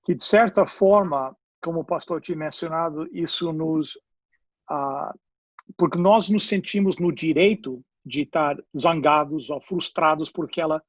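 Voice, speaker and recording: A man's voice: fairly high pitch, unhurried, dry recording. A man, a pastor whose first language is English, speaking Portuguese with an English accent, searching for words and slipping in English fillers in a panel discussion. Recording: dull lo-fi dry audio.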